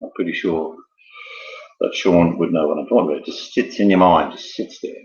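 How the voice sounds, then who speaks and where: A man's voice: low-pitched, brisk, darkish tone. A man hosting an informal live quiz in a rambling, jokey manner with theatrical build-up. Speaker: quiz host. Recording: dull lo-fi, echoey room.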